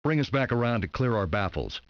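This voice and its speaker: An actor playing a terse submarine captain giving clipped orders in an old game. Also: male voice, medium pitch, very animated, fairly quick, dry recording, muffled recording, natural voice. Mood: commanding. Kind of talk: 1990s game acting.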